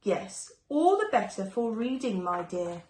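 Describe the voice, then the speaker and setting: Storybook big bad wolf, acted by a woman: hungry, gruff, sneering, swinging widely in pitch. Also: very animated, a little slow, darkish tone, roomy sound, low-quality recording.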